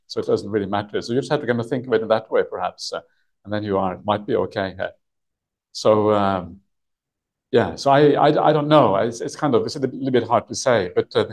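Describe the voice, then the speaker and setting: Soft-spoken monk chuckling through a talk. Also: male voice, lowish voice. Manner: chuckles often, hesitant with many uhs, speaks softly. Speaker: Buddhist monk teaching a sutta retreat. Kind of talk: relaxed dharma talk with Q&A. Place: meditation hall with shrine, indoors.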